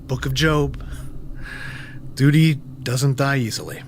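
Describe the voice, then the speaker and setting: A man's voice: mid-range voice, steady tone, takes it slowly. Male, haunted ex-preacher turned monster hunter, brooding audio-drama monologue, mid-range voice, some background noise. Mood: bitter, resigned. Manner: brooding, intense, dramatic delivery.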